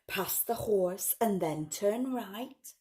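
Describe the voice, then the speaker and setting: A woman voicing a sneaky male thief in a children's storybook, hushed and conspiratorial as he plots in rhyme. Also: mid-range voice, expressive, slow pace. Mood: scheming. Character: sneaky male cattle thief plotting a night raid. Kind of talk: children's storybook read aloud.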